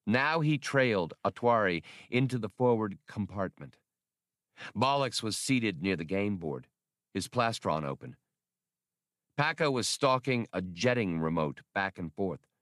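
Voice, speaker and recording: Male audiobook narrator, lowish pitch, scripted storytelling, very dry studio-close sound. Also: expressive, unhurried, a bit bright, no room sound. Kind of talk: scripted narration of a science fiction novel.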